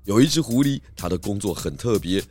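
Man acting pig detective, children's audio drama, lowish voice, Taiwanese accent, patient, methodical, faint music behind. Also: lively intonation, fairly quick, dark tone, natural voice. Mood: confident explaining. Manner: patient, explanatory, teasing out clues. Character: calm, clever male pig detective who patiently reasons.

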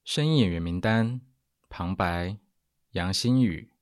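A man reads credits as an announcer. His voice is deep and deliberate, recorded very dry. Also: no room sound, faint music behind. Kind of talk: reading out a cast list.

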